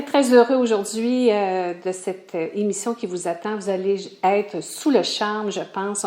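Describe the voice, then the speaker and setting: A woman with a Québécois accent hosting an interview, chatty and halting with many fillers. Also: mid-range voice, mellow. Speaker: host.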